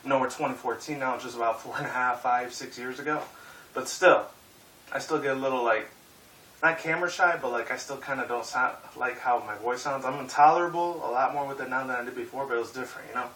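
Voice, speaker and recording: A man's voice: mid-range voice, fast talker, fairly bright tone. A young man in his twenties who rambles through a casual vlog, swearing a lot and leaning on fillers. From Puerto Rican and Mexican heritage. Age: 20s. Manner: rambling, profane, filler-heavy. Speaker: YouTuber. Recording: roomy echo, mic at a distance, faint hiss.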